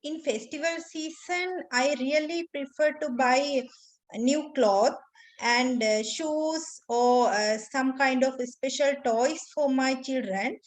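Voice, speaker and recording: A woman's voice: high voice, takes it slowly, low-quality recording. A woman in her thirties from Sri Lanka, answering questions as a candidate in a mock speaking test over a video call, hesitant and full of fillers. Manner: hesitant, frequent fillers, simple phrasing. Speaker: exam candidate.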